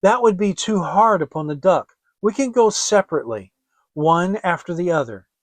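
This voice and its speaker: A man's voice: high-pitched, lively intonation, normal speed, no echo. A man voicing an earnest, kind little girl with a pleading manner in a dramatic fairy tale reading.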